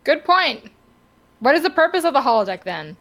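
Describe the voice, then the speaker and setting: A woman's voice: high-pitched, sing-song, moderate pace, a bit bright, lo-fi sound. A woman talking casually as a podcast co-host. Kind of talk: casual chat.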